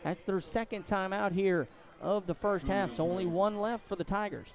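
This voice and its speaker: A man doing live play-by-play commentary of a high school football game. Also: fairly even intonation, moderate pace. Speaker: play-by-play announcer.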